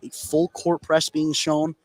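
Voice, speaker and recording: High-pitched American man calling live basketball. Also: completely flat delivery, slow, over a noisy crowd. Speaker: play-by-play basketball announcer. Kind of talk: live sports commentary.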